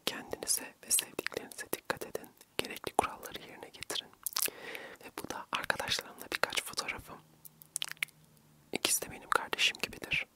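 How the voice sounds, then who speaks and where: Young man in a soft ASMR whisper. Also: fairly quick. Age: young adult. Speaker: ASMR vlogger. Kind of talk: whispered ASMR vlog narration.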